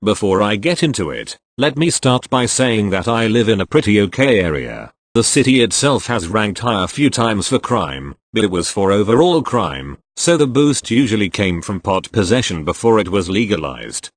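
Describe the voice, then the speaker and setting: A man's voice: lowish voice, moderate pace, hardly any pauses, no room sound. A man narrating retail stories read from online posts, voicing the people in them as he goes. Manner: expressive reader voicing each character in turn. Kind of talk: scripted storytelling narration.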